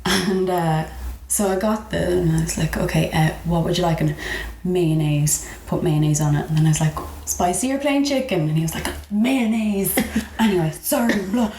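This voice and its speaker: A woman's voice: lowish voice, moderate pace. Irish woman storyteller in an echoey room. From Ireland. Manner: animated, exasperated, mimics people in anecdotes. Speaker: comedian guest. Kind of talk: casual comic storytelling. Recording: roomy echo, faint background noise.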